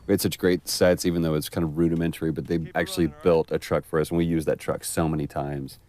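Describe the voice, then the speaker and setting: A man's voice: extremely low pitch, flat delivery, quick pace, a little mellow. A man, an actor, giving casual, reflective and appreciative audio commentary on his game.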